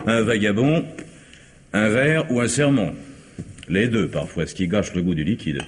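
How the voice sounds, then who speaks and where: An actor voices a sardonic vagabond in a vintage radio play, who mocks everyone he meets with a wry, knowing laugh. His voice sits very low and deep. A faint hiss runs under the old recording. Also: unhurried, lightly acted. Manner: mocking, wry, laughs at others. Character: sardonic cynical male vagabond tramp. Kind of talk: vintage radio drama acting.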